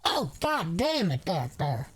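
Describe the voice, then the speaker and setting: A woman's voice: on the low side, sing-song, slow, background noise. A woman playing a rambling, crude, folksy older woman with a Southern US accent on an improvised prank phone call. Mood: exasperated, swearing.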